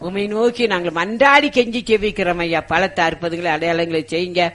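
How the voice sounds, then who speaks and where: High-pitched man pleading in fervent prayer. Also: fairly even intonation, brisk, a bit bright. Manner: pleading, repeats phrases urgently. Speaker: prayer leader.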